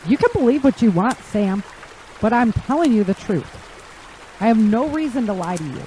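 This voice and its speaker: Woman as a lively, determined young traveler. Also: a little high, moderate pace, a little hiss or hum, lightly acted, rough recording. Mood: earnest, wary. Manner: earnest, firm. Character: determined, wary young female traveler warning strangers. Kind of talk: audio drama acting.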